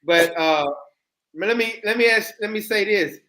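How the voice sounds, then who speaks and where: Bright-voiced man hosting a casual stream. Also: high voice, a little slow. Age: 30s. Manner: loose, rambling, joking. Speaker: channel host. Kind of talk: casual livestream chat.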